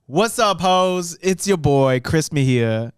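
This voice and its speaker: A man's voice: fairly high pitch, expressive, slow pace, smooth, dry recording, clean studio sound. A man co-hosting a podcast in casual comedic chat, joking and laughing often. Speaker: podcast co-host.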